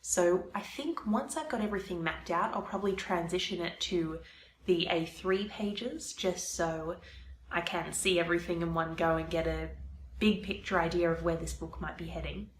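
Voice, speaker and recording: A woman's voice: lowish voice, moderate pace, reverberant, slight background noise. A young woman with a stuffy head cold, chatting casually to camera about planning her novel. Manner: chatty, explains her plans step by step. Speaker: vlogger, aspiring fiction author. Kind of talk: casual vlog talk to camera.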